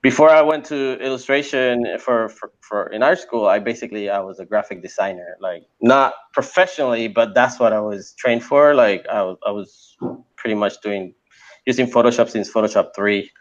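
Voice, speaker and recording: A man's voice: mid-range voice, moderate pace. Hesitant man from Puerto Rico, lo-fi sound. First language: Spanish. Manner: hesitant, many fillers and restarts. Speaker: guest. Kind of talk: casual interview talk. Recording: dull lo-fi sound.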